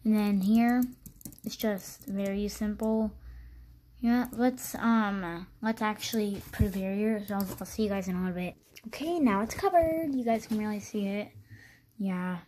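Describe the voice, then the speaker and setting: Hoarse-voiced young woman rambling casually. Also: medium pitch, moderate pace, mellow, slight background noise. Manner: rambling, many likes and ums. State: voice low and hoarse from screaming. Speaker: video creator. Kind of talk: casual game house tour voice-over.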